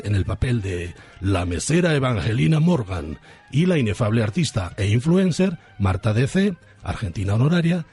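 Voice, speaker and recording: A man's voice: mid-range voice, warm tone, breathy edge. A man with an accent from Spain, narrating comic radio in a theatrical, mock-grandiose manner. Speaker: radio segment host and narrator.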